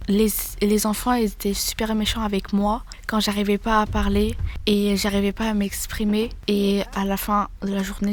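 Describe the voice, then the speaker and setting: A student in her late teens recounts her past with steady earnestness. Her voice is mid-pitched and keeps a flat, level line. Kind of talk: personal testimony.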